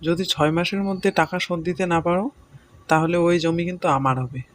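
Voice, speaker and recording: High-pitched male voice, greedy landlord, haughty, domineering, dismissive, cartoon villain acted by a man. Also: fast, slight background noise, natural voice. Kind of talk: Bengali cartoon story voice acting.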